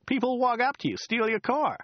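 A man voicing a chatty, excitable driver who rambles, repeats himself and laughs at his own jokes. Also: fairly quick, no room sound, muffled recording. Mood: rambling chatter. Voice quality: high, loud and excitable. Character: chatty loud male American taxi driver. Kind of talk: audiobook character voice.

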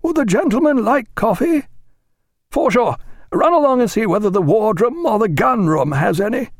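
A man narrating acts out a fussing, hospitable naval captain in a historical audiobook. He calls out loudly in a strongly raised pitch, hearty and eager to please. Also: lively intonation, moderate pace, dry recording, clean studio sound. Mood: flustered, hospitable. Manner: loud, calling out, solicitous.

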